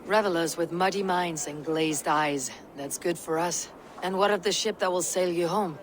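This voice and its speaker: An actress playing a steely young Viking warrior woman in a video game, speaking in measured, formal, old-fashioned phrases. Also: lowish voice, natural voice. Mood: resolute. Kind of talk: video game cutscene acting.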